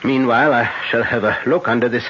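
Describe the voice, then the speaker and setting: An actor playing a grave, authoritative older professor and doctor in a radio drama, speaking in terse, urgent phrases. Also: male voice, medium pitch, brisk, a bit bright, close to an everyday voice. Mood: urgent, commanding. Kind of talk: 1970s radio drama acting. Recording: lo-fi old radio broadcast, faint noise.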